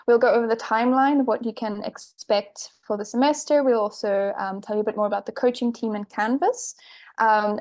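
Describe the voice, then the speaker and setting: A woman's voice: a little high, a little mellow. A woman coordinator welcoming participants on a video call, polite and upbeat, with frequent ums. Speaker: program coordinator hosting the meeting. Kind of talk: scripted welcome and agenda talk.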